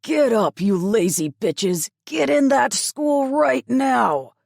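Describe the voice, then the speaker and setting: A woman's voice: fairly high pitch, very animated, slow, creaky, darkish tone, no echo, studio quality, voice pushed up, full character voice. A woman voicing an angry male guard bellowing orders at captives. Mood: enraged. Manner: bellowing, abusive.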